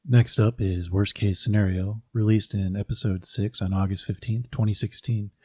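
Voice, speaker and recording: A man introducing audio drama episodes as their producer, reading a scripted announcement with deadpan asides. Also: on the low side, moderate pace, slight background noise.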